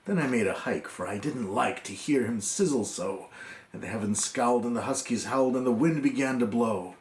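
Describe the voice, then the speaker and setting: A man with a medium-pitched voice gives a dramatic recitation of narrative verse. He phrases it theatrically and deliberately, pausing for effect. The room echoes clearly around him. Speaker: poem reader.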